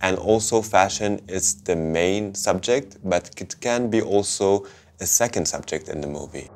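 A man in his thirties from Lebanon, calmly explaining his work as a filmmaker in an interview. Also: low voice, measured pace. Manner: calm, reflective, speaks with open hand gestures. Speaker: filmmaker and photographer. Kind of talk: documentary interview. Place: hotel lounge indoors. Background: music.

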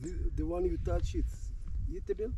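A man's voice: sits high, lively intonation, normal speed, crowd noise, poor audio. An older man, the host's father, asking short hesitant questions while out on a hike. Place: outdoors on a rural road.

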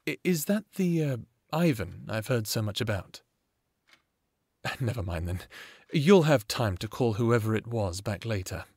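A man playing an attentive, gentle therapist in an audio roleplay, measured, polite and probing. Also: fairly low pitch, very animated, slightly rough, dry recording, exaggerated voice. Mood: curious. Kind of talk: audio roleplay acting, one-sided conversation.

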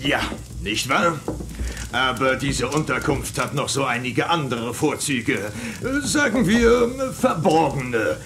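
Brusque army general, lively pitch. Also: male voice, slow. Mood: polite, boastful. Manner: barking orders, clipped military diction. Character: brusque, self-important male army fort general. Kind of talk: German children's audio drama acting. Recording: noisy transfer of old audio drama.

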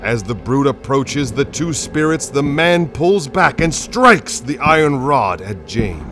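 A man's voice: medium pitch, big pitch swings, a little slow. A man narrating a supernatural radio play as a grave, dramatic storyteller. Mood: tense, dramatic. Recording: voice over clear music.

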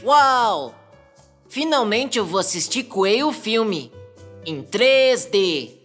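An actor voicing a mild-mannered, easily exasperated cartoon dinosaur in Brazilian Portuguese, with an expressive, plaintive delivery. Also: mid-range voice, slow pace. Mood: hopeful, sweet. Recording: music behind the voice.

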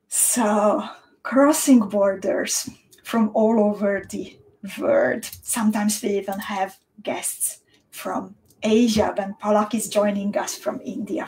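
A woman in her thirties from Slovakia with a Central European accent, chatting casually and cheerfully as a livestream host, halting with small repeats. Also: medium pitch, poor audio. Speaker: beading teacher and livestream host. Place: small indoor home studio room.

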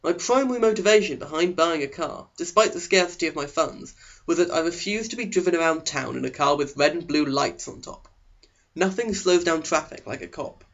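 A fairly high-voiced man reads a novel aloud as scripted narration. His delivery is sarcastic and melodramatic, and the recording sounds slightly dull. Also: fairly quick, fairly bright tone. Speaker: audiobook reader.

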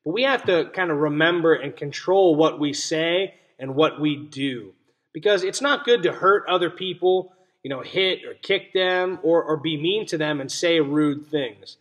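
A man's voice: on the high side, fairly bright tone. A young man teaching a read-aloud lesson for young children, explaining simply and stressing key words. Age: young adult. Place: small indoor room at home. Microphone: webcam or laptop mic at arm's length.

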